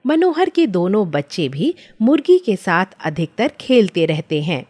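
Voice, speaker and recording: A woman's voice: mid-range voice, very animated, a little slow, darkish tone. A woman reading scripted children's story narration.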